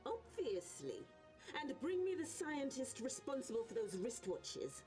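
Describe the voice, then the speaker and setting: A woman's voice: sits high, huge pitch swings, a little slow, darkish tone, soft background music, full character voice. A woman playing an imperious, scornful villain genius in a video game, haughty and theatrical, drawing out words for emphasis. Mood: impatient, scornful. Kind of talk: theatrical cutscene acting.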